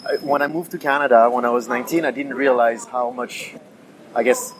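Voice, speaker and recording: A man in his thirties from France, a guest in a casual interview, who talks haltingly with many fillers and restarts. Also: medium pitch, steady tone, rough recording. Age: 30s. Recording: busy crowd chatter behind.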